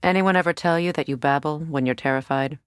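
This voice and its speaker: A woman performs a regal warrior goddess with a low, dry-recorded voice. She is guarded and solemn, with a commanding, measured delivery and dry wit. It is dramatic acting for a fantasy video game. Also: smooth, no echo, natural voice.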